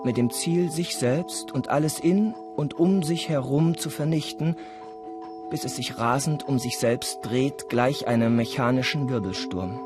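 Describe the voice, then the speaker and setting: A man's voice: medium pitch, measured pace. A man reading scripted documentary-style narration for a radio play. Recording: music behind the voice. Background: music.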